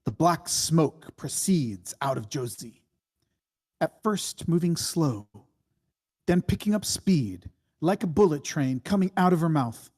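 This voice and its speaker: A man reading screenplay stage directions as dramatic narration, in a deliberate, suspenseful way. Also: fairly high pitch, expressive, darkish tone.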